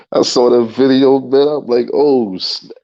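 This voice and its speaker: A man's voice: mid-range voice, a little mellow. A man in his thirties hosting a casual solo talk show, congested, with a loose, repetitive way of talking straight to his listeners. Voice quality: gravelly and husky. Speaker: podcast host. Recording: dull lo-fi sound.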